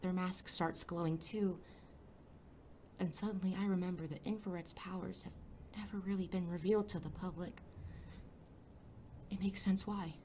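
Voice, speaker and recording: A woman's voice: on the low side, steady tone, normal speed, long pauses, warm tone, a little hiss or hum, close to an everyday voice. A woman playing an anxious, sarcastic young sidekick in an audio drama, both in dialogue and first-person narration, with a wary, dry manner. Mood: uneasy narration. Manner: wary, dry, sometimes whispering.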